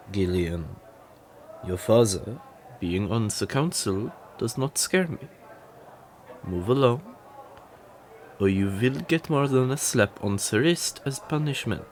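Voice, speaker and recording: A man playing a stern, weary older professor in an audio roleplay, speaking in a measured, authoritative, dryly disapproving way. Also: fairly low pitch, expressive, a little hiss or hum.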